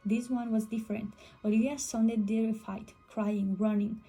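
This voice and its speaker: A woman's voice: on the high side, fairly even intonation, normal speed, dark tone, slightly rough, faint music behind. A woman reading a scripted first-person horror story as a storyteller.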